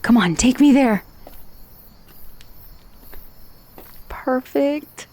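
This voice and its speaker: A woman's voice: high-pitched, lively intonation, unhurried, a little mellow. Friendly magical severed head, female dullahan, played by an actress, ASMR roleplay. Leisurely pace, casual and a little hesitant. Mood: impatient. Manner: casual, hesitant asides with sighs and murmurs. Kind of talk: ASMR roleplay acting, addressing the listener.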